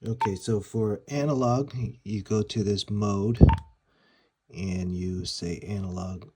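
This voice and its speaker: A man's voice: lowish voice, steady tone, takes it slowly, heavy background noise. A man reviewing gear in a casual, conversational and slightly rambling way, explaining things as he goes. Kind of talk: casual product demo talk.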